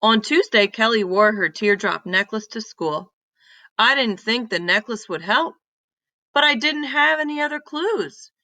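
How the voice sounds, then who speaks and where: A woman narrates a children's story with deliberate expression. Her voice is bright and clearly projected, at a middle pitch. She takes her time over the sentences, and the sound is close and dry. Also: no room sound. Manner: deliberate, animated reading with emphatic exclamations. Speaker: read-aloud storyteller.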